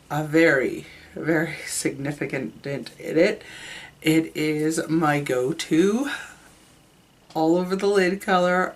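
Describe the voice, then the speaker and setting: Stuffed-up woman chatting slowly and low. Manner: chatty, candid, trails off and restarts. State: stuffed up, sick. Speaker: beauty vlogger. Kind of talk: casual makeup review to camera.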